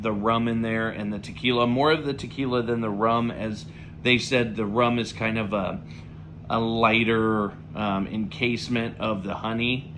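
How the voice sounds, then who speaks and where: Slightly raspy man reviewing pipe tobacco. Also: lowish voice, a little hiss or hum. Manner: relaxed, rambling, lots of fillers. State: slightly raspy from a recent illness. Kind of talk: casual spoken review.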